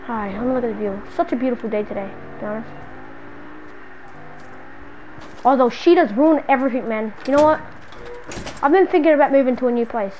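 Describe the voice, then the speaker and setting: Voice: mid-range voice, fast talker, a little mellow, a little hiss or hum, lightly acted, poor audio. A young performer playing a brash, exasperated young man in an improvised game roleplay, slangy and repeating bro and man.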